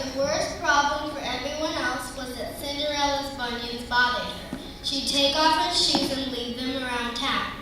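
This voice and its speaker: A woman giving scripted narration of a comic story as narrator. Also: a little high. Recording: distant echoey hall with clear noise.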